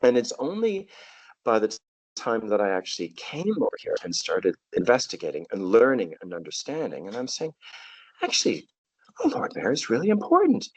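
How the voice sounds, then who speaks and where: A man's voice: mid-range voice, huge pitch swings, a little fast, darkish tone, slightly rough, poor audio. A middle-aged man with a Canadian accent, telling stories as a guest on a casual podcast and often repeating a word as he starts. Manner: thoughtful, storytelling, repeats words at starts. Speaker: guest, tour guide.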